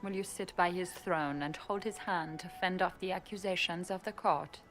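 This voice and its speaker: Cunning, low-voiced elven schemer played by a woman. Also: steady tone, normal speed, a bit bright, soft background music, lightly acted. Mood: defiant. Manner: cool, sardonic, defiant. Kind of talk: fantasy video game acting.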